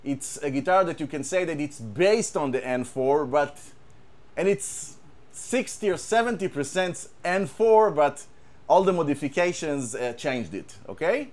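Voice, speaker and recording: Israeli-accented man, slightly high voice, casual halting gear talk, lots of fillers. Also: expressive. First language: Hebrew. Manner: halting, repeats words, many fillers. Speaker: guitar tech. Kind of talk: casual show-and-tell chat.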